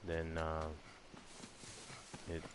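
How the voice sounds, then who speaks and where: A man's voice: rumbling low, monotone, very slow, noisy background. A man giving casual, rambling gameplay commentary, explaining things and thinking aloud as he plays. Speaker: gaming video host playing through a game. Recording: game music under the voice. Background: fantasy game music and sounds.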